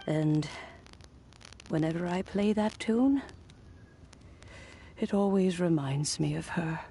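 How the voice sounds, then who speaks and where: Wistful old granny, low measured voice. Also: female voice, slight background noise, heavily acted. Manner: measured, reflective, with soft sighs. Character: kindly, wistful old granny with a gentle dignity. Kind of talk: scripted video game dialogue.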